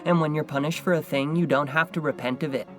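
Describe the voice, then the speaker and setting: Man playing a mischievous, unrepentant schoolboy, highish voice. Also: fairly even intonation, fast, fairly bright tone, faint music behind, natural voice. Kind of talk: audio drama acting.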